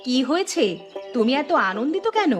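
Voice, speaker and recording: A woman's voice: high voice, sing-song, normal speed, faint music behind. A woman playing a probing, knowing village companion in a melodramatic drama. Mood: sceptical.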